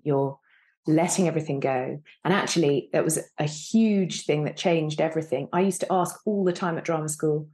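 A woman's voice: low voice, mellow. A woman in her thirties talking reflectively and earnestly as a guest actor in an interview on a video call. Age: 30s. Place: indoor room on a video call.

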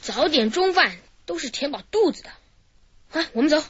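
A woman's voice: sits high, lively intonation, normal speed, a bit bright, lo-fi sound, a little hiss or hum. A woman playing a lazy grumbling master thief. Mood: offhand. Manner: sleepy, grumbling, offhand. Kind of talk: radio drama acting.

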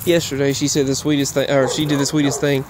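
A man giving a casual, rambling update while walking outdoors, halting and often repeating himself. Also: medium pitch, little pitch movement. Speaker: vlogger. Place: outdoors, walking in a grassy yard near roads. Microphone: earbuds microphone with a phone. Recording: clear outdoor traffic noise. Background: passing traffic.

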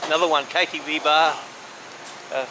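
Man, fairly high voice, casual street tour commentary, halting delivery, outdoors, clear vehicle noise. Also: fairly even intonation. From Australia. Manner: halting, pointing out sights as he walks. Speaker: walking tour vlogger. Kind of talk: casual walk-and-talk commentary. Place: outdoors, walking along a city street.

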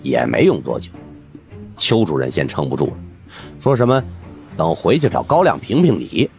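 A man with a husky voice narrating a supernatural mystery audiobook in a dramatic scripted style. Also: low voice, sing-song, normal speed, darkish tone, soft background music.

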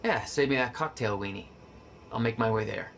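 An actor playing a wry, determined action hero on the run in a cinematic video game, given to sardonic asides. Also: male voice, medium pitch, brisk, slight background noise, close to an everyday voice. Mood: wry, focused. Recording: roomy, distant, under loud music.

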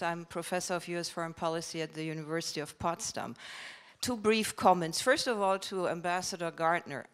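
Woman from Germany, low-pitched academic, earnest and halting, audience mic in a conference hall. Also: a bit bright, clear, resonant tone. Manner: earnest, halting with many fillers. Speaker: professor asking from the audience. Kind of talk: conference floor comment.

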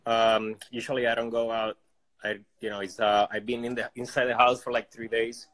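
A man's voice: medium pitch, flat delivery, normal speed, fairly bright tone. A man in his forties with a Puerto Rican accent and Spanish as his first language, chatting casually as a caller on a phone indoors. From Puerto Rico. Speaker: caller, personal trainer. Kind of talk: casual chat. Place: indoor room at home. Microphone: phone at arm's length.

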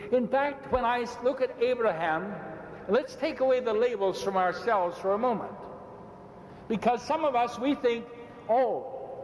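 A man's voice: extremely high pitch, a little hiss or hum. An older man from Ireland preaching emphatically to a large audience, building repeated phrases and gesturing as he moves around a podium. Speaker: bishop debating in a public debate. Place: large indoor arena stage. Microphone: podium microphone, speaker often stepping away. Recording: echoey arena with long reverb.

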